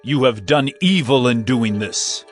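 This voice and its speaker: A man's voice: mid-range voice, lively intonation, a little slow, soft background music, natural voice. A man voicing Joseph, a commanding ruler in Egypt, in a dramatized scripture reading.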